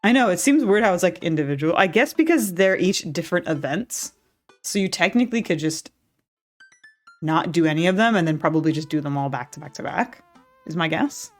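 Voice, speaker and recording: A woman's voice: lowish voice, lively intonation, fairly quick, soft background music. A young woman chatting casually as she streams a game and reads its dialogue aloud, laughing often and restarting her sentences.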